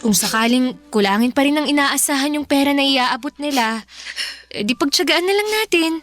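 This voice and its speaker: An actress playing a gentle, sensible Filipino teenage daughter in a radio drama, earnest and soothing. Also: female voice, sits high, expressive, a little fast, soft background music.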